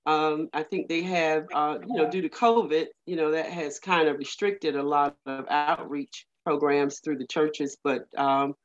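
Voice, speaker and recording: A woman's voice: deep voice, fairly even intonation, measured pace, hardly any pauses. A woman speaking casually in a meeting over a video call, rambling and hesitant with many fillers. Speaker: community working group member. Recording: muffled lo-fi video call audio.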